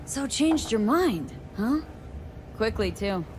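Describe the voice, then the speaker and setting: A woman's voice: fairly high pitch, very animated, slow, darkish tone, slight background noise. An actress playing a streetwise, dry-witted female mercenary in a video game, talking in a cool, wry, casual way. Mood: probing, skeptical. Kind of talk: video game cutscene acting.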